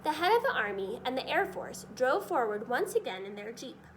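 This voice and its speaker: A woman reading a children's story aloud as expressive scripted narration. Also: sits high, normal speed, a little hiss or hum. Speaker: storybook reader.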